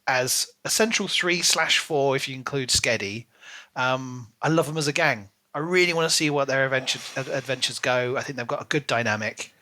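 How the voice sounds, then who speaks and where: Bright-voiced man chatting enthusiastically. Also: medium pitch, brisk. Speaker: podcast co-host. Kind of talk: casual book discussion chat.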